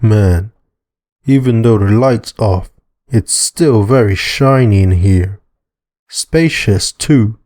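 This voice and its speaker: A man's voice: lowish voice, takes it slowly, a little mellow, dry recording, studio quality. A man voicing a nervous amateur sleuth in a visual novel, hesitant and muttering to himself. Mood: pensive. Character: Dag, nervous male amateur sleuth thinking aloud.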